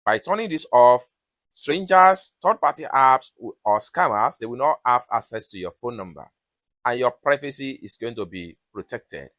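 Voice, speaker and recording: A man's voice: mid-range voice, lively intonation, normal speed, fairly bright tone, no echo. A man hosting a step-by-step phone tutorial, giving instructions in repetitive phrases as a close-miked voice-over. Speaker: tutorial host.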